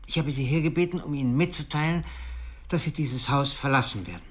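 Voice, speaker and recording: An actor playing a formal, coolly authoritative institution director in a radio drama, measured and firm, with a husky voice. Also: male voice, on the high side, close to an everyday voice. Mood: stern, formal.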